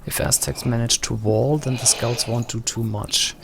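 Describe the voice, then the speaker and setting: A man's voice: medium pitch, steady tone, normal speed, vocal fry, noisy background. A man from Germany casting a strategy game match in an easygoing way, with many fillers and agreeing murmurs. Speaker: caster. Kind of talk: esports play-by-play commentary.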